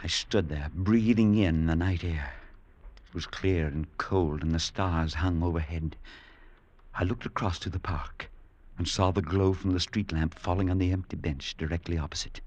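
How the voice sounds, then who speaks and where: An actor playing a grave, solicitous clergyman who tells a horror tale with measured, dramatic delivery in an old radio drama. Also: low voice, steady tone, a little mellow, dull audio. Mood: grave, haunted. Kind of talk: 1940s-50s radio drama acting and narration. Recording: noisy vintage radio recording.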